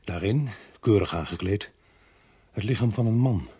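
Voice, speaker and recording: A man acting a detective who narrates his investigation in a radio play, measured and dramatic. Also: on the low side, animated, dark tone, husky, dry recording. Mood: dramatic, reflective. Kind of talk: first-person dramatized storytelling.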